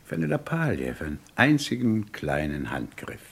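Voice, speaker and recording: An actor playing a menacing gangster boss in a German radio crime play, mockingly courteous and smooth-talking with a gravelly voice. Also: male voice, a bass voice, wildly swooping pitch, a bit bright, full character voice. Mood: sly persuasion. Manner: ironically polite, smooth-talking, unhurried menace.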